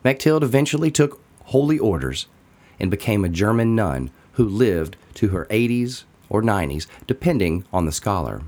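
A man reading a scripted daily devotional in a measured, deliberate way with pauses between phrases. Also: lowish voice, big pitch swings, darkish tone.

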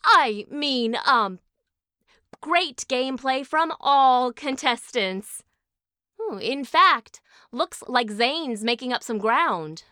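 A woman's voice: high voice, expressive, takes it slowly, pushed and bright, clear, resonant tone, dry recording, clean studio sound, heavily acted. A woman playing a gushing aunt who hosts a kids' game show in an audio drama. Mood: flustered cheering.

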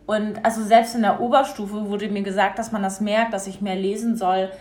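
A young woman co-hosting a radio talk show, leading the topics in a relaxed, casual way with wry remarks. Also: medium pitch, moderate pace.

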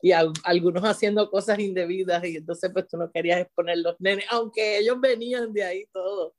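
A woman's voice: mid-range voice, steady tone, brisk. Middle-aged Puerto Rican woman, teacher guest, loose talk full of restarts and asides. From Puerto Rico. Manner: restarts often, frequent "¿verdad?" tag. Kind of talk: conversational interview.

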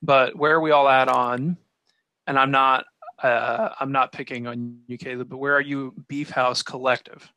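A man's voice: medium pitch, little pitch movement, unhurried, pushed and bright, no room sound. A man hosting a casual podcast chat, teasing and joking with his co-hosts. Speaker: podcast host.

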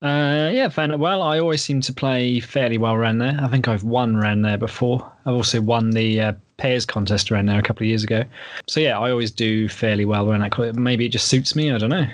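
A man's voice: medium pitch, steady tone, a little fast, dry recording. A man hosting a golf podcast in a casual, friendly chat with light teasing. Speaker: podcast host.

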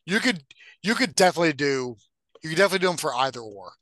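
A man's voice: a little high, very animated, racing, bright, forceful tone, no room sound. A man co-hosting a podcast in casual, profane banter, giving blunt opinions. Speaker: podcast co-host.

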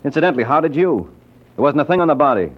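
An actor playing a tough, unflappable private detective with a dry, wisecracking, slangy way of talking in an old-time radio drama. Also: male voice, on the high side, expressive, rapid-fire. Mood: menacing, sardonic. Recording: dull, lo-fi old radio recording.

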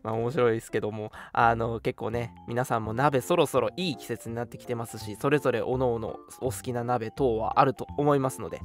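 A man co-hosting a radio show in casual chat, often chiming in with quick agreement. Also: mid-range voice. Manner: frequent quick agreeing backchannels. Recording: music playing under the talk. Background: background music.

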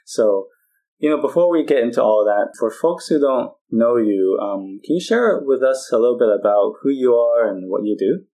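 A man hosting an interview, talking in a friendly, relaxed way. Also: mid-range voice, normal speed, poor audio. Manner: friendly, relaxed, uses fillers. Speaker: interview host. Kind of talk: conversational interview.

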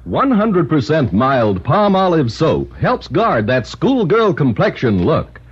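A man reading a 1950s radio soap commercial in an emphatic, sing-song sales pitch, on a muffled old broadcast recording. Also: wildly swooping pitch, takes it slowly, breathy edge. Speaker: commercial announcer.

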